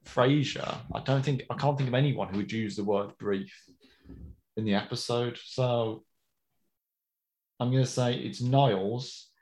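A man's voice: mid-range voice, measured pace, pauses often, rough recording. A man, co-hosting a podcast in casual chat, reading listener mail and laughing easily. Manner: relaxed, chatty, often laughing. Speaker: podcast co-host.

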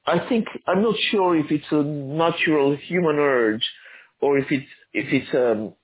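A man who grew up in Argentina, an author answering interview questions in a halting, thoughtful way with many restarts. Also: a little high, phone-quality sound.

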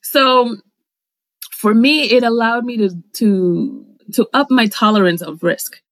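A woman's voice: on the high side, takes it slowly, dry recording, low-quality recording. Thoughtful woman from the Dominican Republic, dry sound. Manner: thoughtful, articulate, with frequent fillers and restarts. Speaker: interview guest. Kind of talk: reflective conversational interview.

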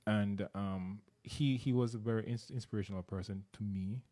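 Jamaican man, middle-aged, interview guest; low mellow voice, sincere and halting. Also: steady tone. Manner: earnest, repeats words while searching for them. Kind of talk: casual interview.

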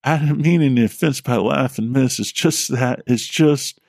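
A man's voice: medium pitch, normal speed, gravelly, darkish tone, dry recording, studio quality, pitched above the performer's own voice. A man voicing a blunt, joking plumber with a Vermont accent and a folksy, crude way of talking. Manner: folksy, drawling, a bit crude.

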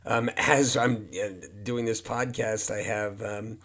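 A hoarse man, an indie film producer, talking frankly as a podcast guest, rambling and repeating himself. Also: lowish voice, steady tone, takes it slowly, fairly bright tone. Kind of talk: casual podcast guest talk. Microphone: speaking near a humidifier.

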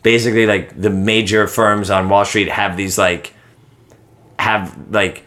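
A man's voice: on the low side, little pitch movement, a little slow, fairly bright tone. Ranting, swearing man in his late thirties. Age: 30s. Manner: ranting, swears freely, heavy use of "like". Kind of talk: casual chat.

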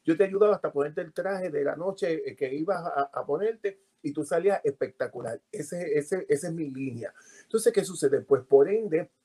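A Puerto Rican man, a podcast guest, telling animated stories about his life with frequent fillers. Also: a little high, moderate pace, husky. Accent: Puerto Rican Spanish. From Puerto Rico. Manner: animated storytelling with many fillers. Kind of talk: casual interview.

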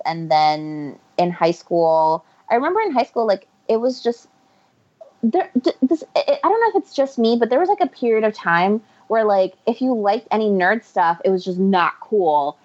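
A woman's voice: medium pitch, very animated, normal speed, darkish tone. A woman from Ecuador, a guest author, chatting casually in an interview and often restarting her sentences. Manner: rambling, self-correcting, laughs often. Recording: muffled lo-fi audio.

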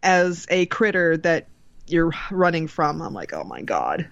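A woman's voice: low-pitched, big pitch swings, a little slow, vocal fry, no echo, dull audio. A woman co-hosting a podcast in casual chat, with a jokey, giggly manner. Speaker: podcast co-host.

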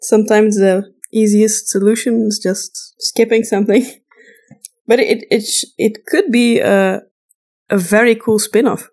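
A woman's voice: mid-range voice, fairly even intonation, a little slow, a little mellow. Flemish woman, hesitant casual co-host. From Flanders, Belgium. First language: Flemish Dutch. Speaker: podcast co-host. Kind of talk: casual conversational Q&A.

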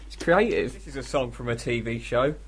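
A man's voice: medium pitch, lively intonation, brisk, gravelly, breathy edge. A British man co-hosting a radio show in casual comedic banter, with a rambling, giggly, silly manner. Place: radio studio.

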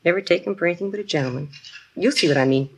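A woman's voice: on the low side, rapid-fire, vocal fry, darkish tone, husky. An American actress playing a flustered, genteel, trusting woman shopkeeper in a 1950s radio drama, polite and fretful. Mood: trusting, troubled.

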